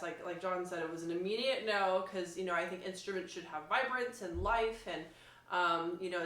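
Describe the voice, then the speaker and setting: A woman's voice: fairly low pitch, a bit bright. A woman giving a casual review as a demonstrating musician, informal and thinking aloud as she goes. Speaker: violinist demonstrating instruments. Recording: echoey room.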